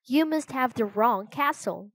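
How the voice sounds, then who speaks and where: A woman's voice: on the high side, expressive, unhurried, no echo, voice pushed up. A woman voicing a young boy hero in a children's story, earnest and plain-spoken, scared but brave. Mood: steady, defiant.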